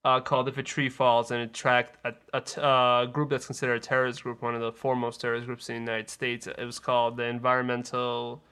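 Clear, bright-voiced man chatting about films. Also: medium pitch, monotone, fast, hardly any pauses. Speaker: podcast co-host, film reviewer. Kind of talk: casual movie chat.

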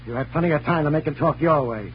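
A man's voice: on the high side, fast talker, lightly acted. Guy doing a hot-headed american gangster thug, slangy, old radio show, muffled with some hiss. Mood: threatening. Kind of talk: 1940s radio crime drama acting. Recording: muffled, noisy old radio recording with hum.

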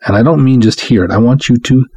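A man hosting a podcast, addressing the listener directly in a deliberate, emphatic monologue with dramatic pauses. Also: medium pitch, dark tone, slightly rough, dry recording. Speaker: podcast host.

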